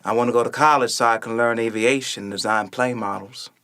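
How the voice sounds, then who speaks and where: A man's voice: mid-range voice, steady tone, fairly quick, sharp tone, clear, resonant tone. A man playing a wary, bookish twelve-year-old younger brother in a radio play, plainspoken and hesitant. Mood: shyly hopeful.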